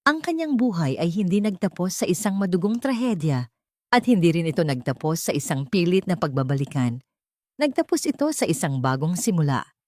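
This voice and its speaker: A woman narrates a scripted drama in a slightly low voice. Her intonation rises and falls widely, and the recording is dry and close. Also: darkish tone, no room sound. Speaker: story narrator.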